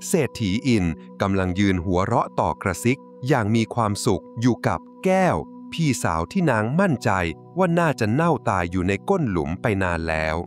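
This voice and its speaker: A man narrating a folk tale from a script in a dramatic, suspenseful, expressive way. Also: lowish voice, moderate pace, few pauses. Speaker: storyteller. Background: music.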